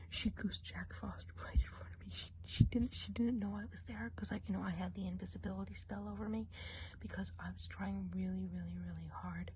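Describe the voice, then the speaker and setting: A woman's voice: mid-range voice, a little mellow, natural voice, low-quality recording. A woman playing a gossipy female witch of the woods in an ASMR role play, whispering confidingly while giving an eye exam. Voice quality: mostly whispered.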